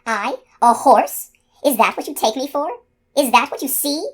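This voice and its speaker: Woman doing a proud ancient unicorn, higher than her narration, measured and dignified. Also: sing-song. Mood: indignant. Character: proud ancient female unicorn, wondering and regal. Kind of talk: storybook reading.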